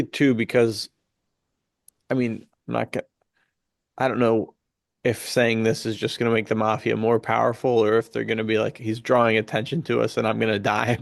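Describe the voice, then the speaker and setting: A man chatting casually on a podcast, slangy and jokey, with an excitable way of talking. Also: mid-range voice, a little fast, vocal fry, no room sound, clean studio sound.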